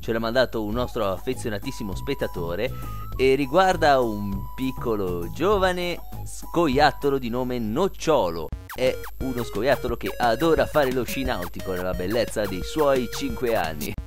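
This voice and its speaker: A man's voice: mid-range voice, animated, moderate pace, faint music behind, close to an everyday voice. A man voicing a cheerful, callous tiger puppet who anchors a parody TV news show in a mock-professional, gleefully tasteless way. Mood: mock-serious.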